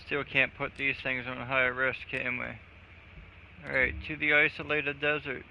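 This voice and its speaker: A man's voice: mid-range voice, level tone, unhurried, creaky, pushed and bright, highs cut off, slight background noise. A man streaming a game live, chatting casually with crude, profane jokes. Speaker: gaming streamer.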